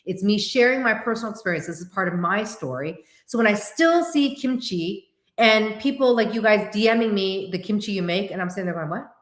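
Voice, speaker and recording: A woman in her forties, born to Korean as a first language, hosting a casual livestream chat and answering viewers in an animated way. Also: medium pitch, a little fast, roomy sound. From United States. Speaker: host.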